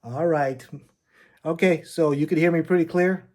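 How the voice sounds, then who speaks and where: A man's voice: fairly high pitch, steady tone, moderate pace, a little mellow. A man giving a casual gear review in an informal, explanatory way, as a vlogging product reviewer.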